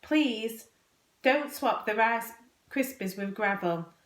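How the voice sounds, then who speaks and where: A woman acts out a gross, boastful young boy from a children's storybook. The voice is pitched up high, with childish, exclamatory delivery and a roomy echo around it. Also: takes it slowly. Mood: protesting. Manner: exclamatory, childish bragging and pleading. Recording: roomy, echoing indoor recording.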